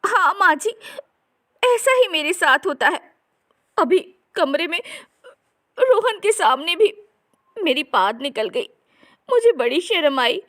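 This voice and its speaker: A woman's voice: extremely high pitch, big pitch swings, slow pace, long pauses, a bit bright, voice pushed up, full character voice. A woman voicing an embarrassed, meek young daughter-in-law in a family comedy story, with a plaintive, confiding whine. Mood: embarrassed, complaining. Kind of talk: acted dialogue in a Hindi family comedy story.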